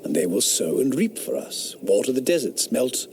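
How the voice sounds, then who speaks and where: An actor playing a sardonic, rationalist eighteenth-century philosopher in a radio drama, speaking in a declamatory, scornful manner. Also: male voice, medium pitch, sing-song, moderate pace, a little mellow, a little hiss or hum. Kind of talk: period radio drama acting.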